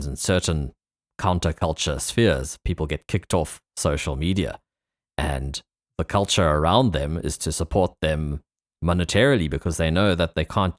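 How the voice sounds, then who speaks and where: Very deep-voiced man chatting reflectively. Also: moderate pace, dry recording, clean studio sound. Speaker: podcast host. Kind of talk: casual, reflective conversation.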